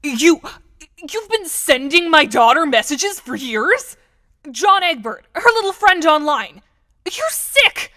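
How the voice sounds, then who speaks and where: A woman's voice: high voice, big pitch swings, slow pace, no room sound, studio quality, full character voice. A woman playing an outraged, accusatory mother with a hot temper in an audio drama. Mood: furious. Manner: forceful, accusatory.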